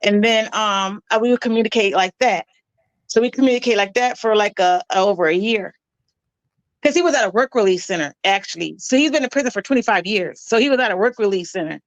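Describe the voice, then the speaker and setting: A woman in her forties telling her story in an interview, matter-of-fact and a little halting. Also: mid-range voice, no echo. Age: 40s. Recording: dull, dry lo-fi clip audio.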